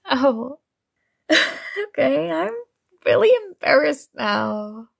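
Woman acting a slow, hesitant shy nerdy classmate. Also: high-pitched, lively intonation, vocal fry, no echo, studio quality, full character voice. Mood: flustered, embarrassed. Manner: hesitant, halting, awkward. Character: shy, nerdy young female classmate, flustered and smitten. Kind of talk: ASMR romantic roleplay.

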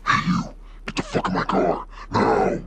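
A man voicing a cocky, crude, goofy armored superhero in a comedic game parody dub, gravelly and deadpan. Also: extremely low pitch, normal speed, heavily acted. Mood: curt, rude. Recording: noisy amateur dub with game ambience.

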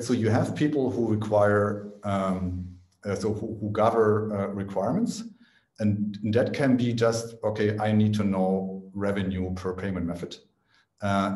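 Man with a dark, mellow voice in an echoey room. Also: on the low side. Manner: explanatory, frequent "right?" and restarts. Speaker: conference speaker. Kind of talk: casual technical Q&A.

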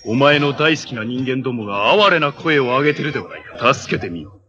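Man playing evil cyborg overlord from an 80s japanese hero show, commanding n theatrical, middle pitched voice. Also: lively intonation, a little fast, muffled recording, music in the background, natural voice. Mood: taunting, cruel. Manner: imperious, theatrical, commanding proclamations. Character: menacing armored cyborg villain, imperious heir to an evil empire. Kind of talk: 1980s Japanese tokusatsu TV villain acting.

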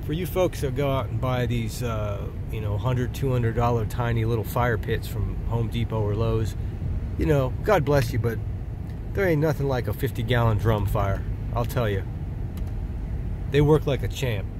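A man's voice: mid-range voice, normal speed. A man, stuffy and throaty, rambling folksy chat while driving his truck for a vlog. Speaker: vlogger. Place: inside a moving truck. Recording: loud road and engine noise in a truck.

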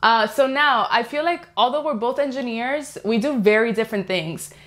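A young woman hosts with a bright, upbeat manner and a fairly high voice. Her lines are delivered in a clear scripted way. She speaks into a lapel mic in a studio. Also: normal speed. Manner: upbeat, enthusiastic. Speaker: host. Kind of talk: scripted channel host talk. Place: studio before green screen, indoors.

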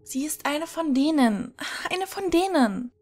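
A woman's voice: high voice, animated, unhurried, a little mellow, soft background music. A woman playing a desperate, jealous old bicycle, a spurned ex-girlfriend, pleading urgently in an audio drama. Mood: urgent warning.

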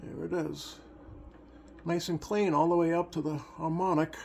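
A man giving a casual, unscripted hands-on demonstration to viewers, halting and thinking aloud as he works. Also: fairly high pitch, fairly even intonation, vocal fry, darkish tone, slight background noise. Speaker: radio repair hobbyist, video host. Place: indoor home electronics workbench.

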